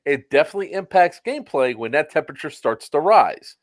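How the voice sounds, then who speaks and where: A man's voice: on the high side, big pitch swings, fairly quick, dry recording, studio quality. A man in his forties chatting on a casual podcast over a video call, blunt and freely swearing. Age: 40s.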